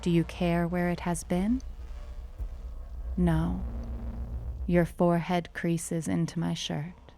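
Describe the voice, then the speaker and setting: A woman narrating a short story in an intimate first person, with a measured, tender, dramatic delivery. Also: lowish voice, little pitch movement, vocal fry, mellow, slight background noise.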